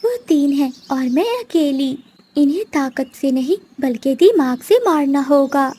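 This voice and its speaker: A woman's voice: high-pitched, expressive, unhurried, mellow. A woman voicing a scheming, vengeful female bird with an accusing, dramatic manner in a moral-story cartoon. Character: vengeful, scheming female bird plotting against wicked crows.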